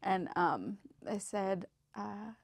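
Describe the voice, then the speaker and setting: A woman's voice: mid-range voice, very slow, vocal fry, slightly rough, no room sound, studio quality. Woman from southern Mexico telling her story. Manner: relaxed, frequent ums and uhs. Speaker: podcast guest, medical interpreter. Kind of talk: casual storytelling.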